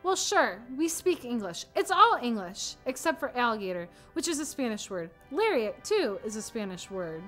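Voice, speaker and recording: Bright, higher-voiced woman reciting. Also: big pitch swings, moderate pace, few pauses, soft background music. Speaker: readers theater performer. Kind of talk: scripted ensemble recitation.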